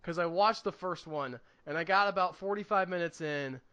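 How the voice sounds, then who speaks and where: A man's voice: sits high, normal speed, bright, forceful tone, clear, resonant tone. A man co-hosting a podcast in casual chat, with a wry, opinionated and mildly sweary manner. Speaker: podcast co-host reviewing movies.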